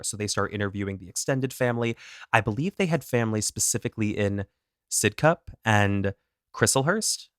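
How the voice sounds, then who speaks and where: Low-voiced man telling a true crime story. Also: dry recording, studio quality. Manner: animated, self-deprecating, laughs at own pronunciation. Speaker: podcast co-host.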